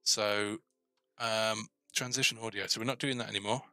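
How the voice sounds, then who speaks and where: A middle-aged man with a low voice and a gravelly creak talks casually as he works at a computer. His delivery is bright and musing, like someone thinking aloud. Keyboard clicks sit behind him. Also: fairly even intonation, normal speed, dry recording. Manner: musing, asks himself questions. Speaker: game developer presenting a coding series. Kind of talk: thinking aloud while coding, casual tutorial.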